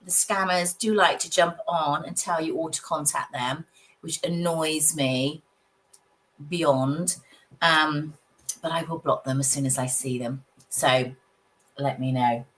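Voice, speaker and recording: A woman who is husky from a cold, chatting casually with viewers as a psychic livestream host in her home office. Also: deep voice, slow pace, rough recording. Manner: chatty, warm greetings, frequent little laughs.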